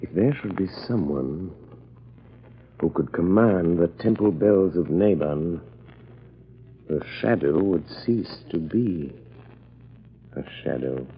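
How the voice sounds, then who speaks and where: An actor playing a calm, grave crime fighter with measured, dramatic delivery in an old-time radio drama. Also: male voice, low voice, husky, muffled recording, heavily acted. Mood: grave, mysterious. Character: mysterious crime-fighting man, calm, grave, secretly invisible avenger. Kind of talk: 1930s radio acting.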